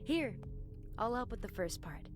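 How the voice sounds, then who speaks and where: A woman's voice: fairly high pitch, big pitch swings, measured pace, faint music behind. A woman playing a teasing, cheeky but caring teenage schoolgirl in a visual novel fandub. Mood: playful.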